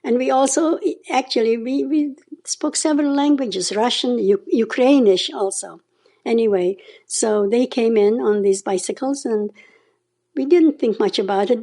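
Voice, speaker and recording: An older woman in her nineties with a Hungarian-Czech accent and a warm, mellow tone. She tells her story unhurriedly, checking in with a frequent questioning "okay?". Her voice sits somewhat high. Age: 90s. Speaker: interview guest. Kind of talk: oral history interview.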